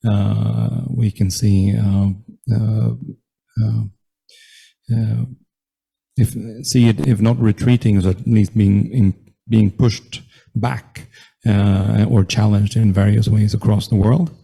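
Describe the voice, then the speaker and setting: A man moderating a panel event, giving formal opening remarks in a halting way, full of fillers and restarts. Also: lowish voice, steady tone, vocal fry, warm tone, slightly rough, poor audio. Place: event room with audience.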